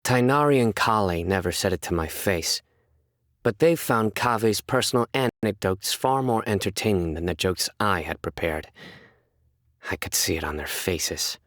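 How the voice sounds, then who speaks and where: An actor playing a stern, composed young male judge in a video game, speaking in a measured, serious, deadpan way. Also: on the low side, no echo, clean studio sound. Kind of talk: scripted game character voice lines.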